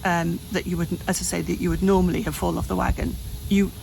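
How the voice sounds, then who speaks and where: Gravelly woman in her late fifties. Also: fairly low pitch, little pitch movement, fast talker, darkish tone, slight background noise, rough recording. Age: 50s. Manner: rambling, frequent restarts and self-corrections. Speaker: vlogger. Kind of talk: candid personal vlog. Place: home, hot room.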